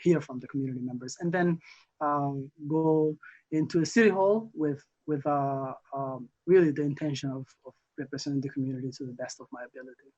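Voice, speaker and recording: A young man from Somalia, a newly elected council member, answering interview questions haltingly with many fillers and restarts. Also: mid-range voice, a little mellow. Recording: muffled lo-fi audio.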